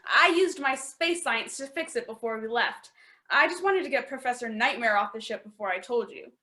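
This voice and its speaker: Woman playing a bright, cool-headed space heroine. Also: a little high, expressive, moderate pace. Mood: brisk, assured. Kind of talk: campy sci-fi radio serial acting. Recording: roomy, echoing voice recording.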